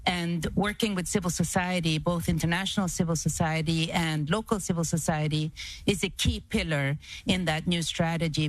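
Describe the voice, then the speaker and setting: A woman's voice: lowish voice, no room sound, low-quality recording. A woman speaking as an executive on a panel, giving an organized but unscripted answer. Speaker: development bank executive on a panel.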